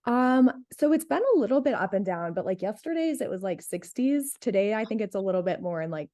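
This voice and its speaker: A woman's voice: mid-range voice, lively intonation, brisk, mellow. A woman in her late twenties from North Carolina, a diabetes health coach, chatting casually as an interview guest, laughing often and filling with "like". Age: 20s.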